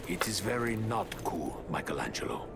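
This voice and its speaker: A man voicing a grave, elderly mutant rat master in a video game, speaking in a measured, formal, fatherly way. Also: sits low, sing-song, vocal fry, noisy background, exaggerated voice.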